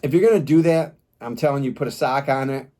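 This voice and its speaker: A man's voice: medium pitch, fast talker, mellow. A man doing an informal product review, talking casually as he demonstrates gear step by step.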